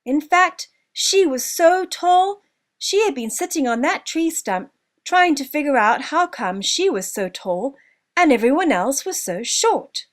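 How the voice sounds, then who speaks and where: A woman narrating a children's story from a script, with expressive delivery and deliberate emphasis. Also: sits high.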